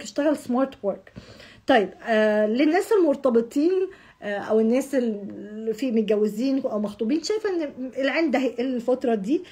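A hoarse, husky Egyptian woman rattles off a horoscope reading at a quick clip. Her voice sits fairly high and sounds rough and breathy. She talks straight to the viewer in a chatty way and repeats herself. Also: mellow. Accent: Egyptian Arabic. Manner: chatty, repeats words, addresses the viewer directly, gestures with hands. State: hoarse from shouting. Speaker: astrology channel host. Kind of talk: casual monthly horoscope reading. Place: home room with curtain backdrop.

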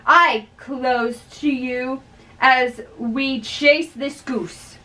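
Young woman, amateur play reader, slow and halting, bright forceful voice, picked up from across a bedroom. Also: sits high. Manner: halting, stumbles over words. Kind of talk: dramatic reading of a play in modern English. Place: small bedroom, indoors. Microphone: far camera. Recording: distant microphone in a room.

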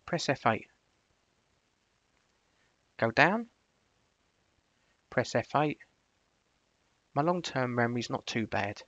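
A man presents a software screencast at an unhurried, slow pace. His delivery moves between casual explanation and short, clipped dictation commands. His mid-pitched voice is recorded dry, close to the room's silence, with a slightly muffled tone. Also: lively intonation, no echo. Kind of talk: screencast tutorial, spoken voice commands.

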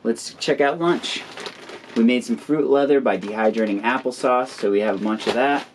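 A man's voice: mid-range voice, moderate pace. A man in his thirties giving a casual how-to explanation as an outdoor vlogger. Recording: clear ambient noise behind voice.

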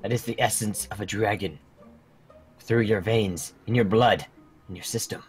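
Man acting as solemn dragon mentor, low voice, measured and portentous, game roleplay, music behind. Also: slightly rough. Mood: solemn, instructive. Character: solemn male dragon mentor in human form. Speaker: mentor training young dragon riders. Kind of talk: fan roleplay acting in a gaming video.

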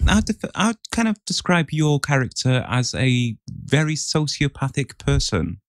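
A man's voice: medium pitch, very animated, unhurried, dry recording, clean studio sound. A man co-hosting a podcast, talking casually with the others. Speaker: podcast co-host. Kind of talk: casual chat.

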